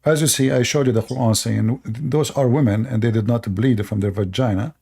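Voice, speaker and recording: Gravelly man, Arabic his first language. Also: medium pitch, dark tone. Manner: halting, repeats words, addresses viewers. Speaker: show host. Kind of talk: live call-in debate.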